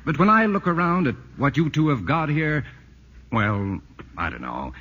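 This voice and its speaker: Family friend: dry, articulate, sympathetic, animated husky voice, old radio sound. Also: male voice, on the high side, normal speed, heavily acted. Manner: droll, articulate. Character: wry, urbane family friend, dryly sympathetic. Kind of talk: 1940s radio comedy acting. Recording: muffled echoey old radio broadcast.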